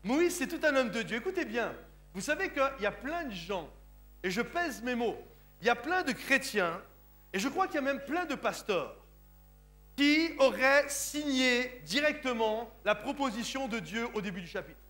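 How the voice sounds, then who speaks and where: A man's voice: extremely high pitch, animated, normal speed, bright, forceful tone, faint music behind. A French man of Sicilian descent, a pastor preaching a sermon in church with emphatic delivery, often asking his congregation if they understand. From France, of Sicilian parents. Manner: emphatic, repeatedly asks the congregation "Comprenez-vous?".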